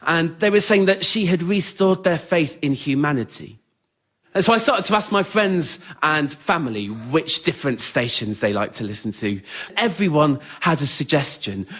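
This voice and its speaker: A man tells an autobiographical story to a theatre audience in an understated, wry, plain-spoken way, as the writer and performer of a solo show. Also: fairly high pitch. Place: theatre stage in front of an audience.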